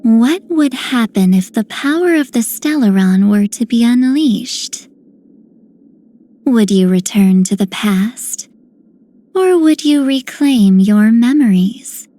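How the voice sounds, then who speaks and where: Slow, mellow, inquisitive game woman. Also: a little high, animated, no room sound. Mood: curious, musing. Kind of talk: scripted game character voice lines.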